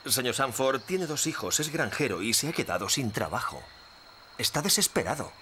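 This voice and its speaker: An actor playing a fair, compassionate park ranger in a dubbed cartoon, speaking earnestly. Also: male voice, medium pitch, very animated, moderate pace, sharp tone, slight background noise. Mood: sympathetic.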